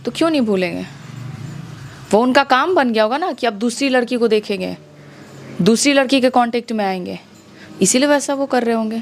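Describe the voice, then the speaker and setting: A woman's voice: a little high, animated, brisk, long pauses, a little hiss or hum. A woman with a Bihari accent giving her account as an interview guest, indignant and challenging, often using rhetorical questions. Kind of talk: conversational interview testimony.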